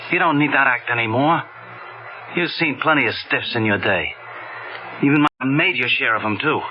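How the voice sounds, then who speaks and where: A man's voice: mid-range voice, moderate pace, close to an everyday voice. An actor playing a pushy, menacing gangster killer in an old-time radio drama, with slangy tough-guy talk. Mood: pushy, insistent. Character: brash, menacing gangster killer hunting stolen diamonds. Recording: muffled, noisy old radio drama broadcast.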